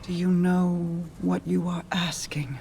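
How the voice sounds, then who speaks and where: A woman's voice: fairly low pitch, level tone, slow pace, creaky, warm tone, slight background noise. A woman playing a regal, guarded queen and protective sister in a video game, speaking formally and solemnly. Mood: grave, reluctant. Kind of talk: scripted video game drama.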